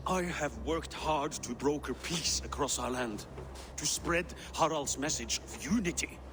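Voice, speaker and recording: An actor playing an old, deposed Norse king, a grieving and dignified father who speaks gravely and earnestly in a dramatic video game. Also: on the high side, measured pace, noisy background, lightly acted. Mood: wounded pride. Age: older. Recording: music behind the voice.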